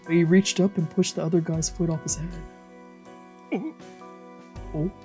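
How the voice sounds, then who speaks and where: A woman streamer reading a visual novel aloud with casual, excitable, sweary reactions. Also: lowish voice, unhurried, music in the background. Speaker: let's play streamer.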